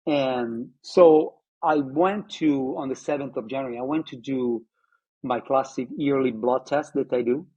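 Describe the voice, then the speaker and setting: A man, telling his own story in an interview, reflective and halting with many fillers and restarts. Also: mid-range voice, warm tone, breathy edge, lo-fi sound. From Italy. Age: 50s. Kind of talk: personal testimony interview.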